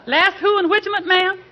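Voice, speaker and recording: A man voicing a jolly, chuckling American female cook in folksy falsetto for a 1940s radio comedy. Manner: folksy, exuberant, ungrammatical. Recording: muffled, noisy old radio recording with audience.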